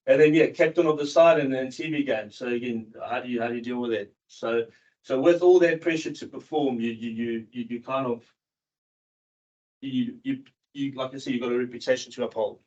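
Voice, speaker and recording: A man from South Africa who moved to New Zealand in his teens, giving a candid, informal personal talk to an audience in a halting, repetitive way. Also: medium pitch, a little mellow. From South Africa, moved to New Zealand in his early teens. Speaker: seminar speaker, cricket coach and former professional cricketer. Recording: dull, muffled seminar recording.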